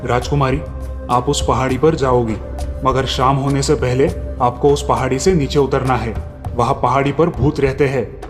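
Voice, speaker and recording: A man's voice: mid-range voice, steady tone, normal speed, long reverb tail, over music, natural voice. A man voicing a cautious, protective king and father in a storybook tale. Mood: warning. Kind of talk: storybook dialogue.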